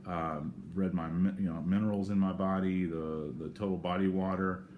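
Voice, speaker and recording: An American man talking casually and unscripted to camera in a rambling, halting way, often correcting himself as he gives a personal update. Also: deep voice, flat delivery, narrow, tinny audio. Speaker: vlogger giving a personal health update. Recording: clear background noise, room ambience.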